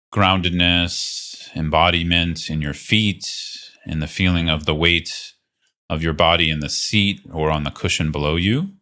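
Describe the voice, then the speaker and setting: A man leading a guided meditation as a teacher, speaking gently and unhurriedly with long pauses. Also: low-pitched.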